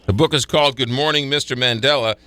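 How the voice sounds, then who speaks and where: A man's voice: medium pitch, fast, bright, forceful tone, no echo. A man hosting a radio interview in a studio, stumbling and restarting his questions. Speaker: radio host.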